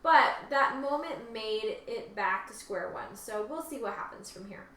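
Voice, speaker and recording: A woman's voice: on the high side, animated, measured pace, a bit bright. A woman co-hosting casually, switching between reading messages aloud and chatting. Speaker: co-host reading out listener reviews. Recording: echoey room, distant mic, faint noise.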